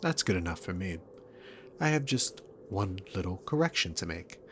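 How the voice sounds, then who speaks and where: A man's voice: low voice, sing-song, moderate pace, a bit bright, slight background noise, exaggerated voice. A man playing a dominant, sarcastic demon king villain in a scripted ASMR roleplay, with smug, teasing, theatrical phrasing. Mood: mocking, then sardonic, argumentative.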